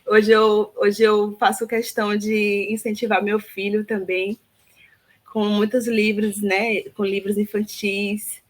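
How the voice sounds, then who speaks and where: Slow, thoughtful woman. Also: mid-range voice, level tone, rough recording. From Salvador, Bahia, Brazil. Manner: thoughtful, many fillers and tag questions. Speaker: guest, philosophy teacher. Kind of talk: reflective interview answer.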